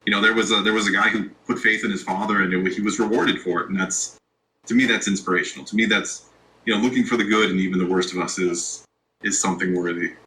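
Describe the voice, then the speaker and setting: Bright-voiced man, relaxed and rambling. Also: on the low side, fast talker, slight background noise, poor audio. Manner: relaxed, rambling, many restarts. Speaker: YouTube creator, guest. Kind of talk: casual interview chat.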